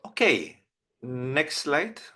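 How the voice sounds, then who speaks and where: A man who is not a native English speaker, giving an explanatory presentation as an expert in a halting but emphatic manner. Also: medium pitch, big pitch swings, bright, forceful tone. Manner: halting, emphatic, tag questions.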